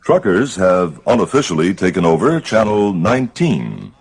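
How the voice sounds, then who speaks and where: A man reading scripted instructional narration in a gravelly voice. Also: deep voice, big pitch swings, normal speed.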